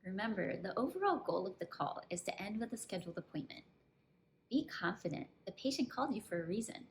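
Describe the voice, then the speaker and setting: A woman dentist and coach giving an instructional presentation, explaining things clearly step by step. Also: mid-range voice, very animated, brisk, a little hiss or hum. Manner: clear step-by-step explaining, with acted example phrases.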